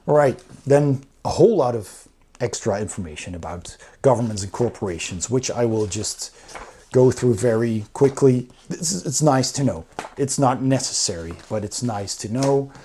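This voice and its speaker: A man's voice: medium pitch, expressive, normal speed, warm tone, a little hiss or hum. A man giving a casual, unscripted review, explaining things in an informal and enthusiastic way. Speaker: board game reviewer.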